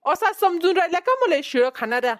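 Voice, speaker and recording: A woman's voice: very high-pitched, expressive, fast, a bit bright. A woman voicing a boastful, haggling young male street peddler in a cartoon comedy, with a Vidarbha Marathi accent. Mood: indignant, boastful. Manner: cocky, bargaining.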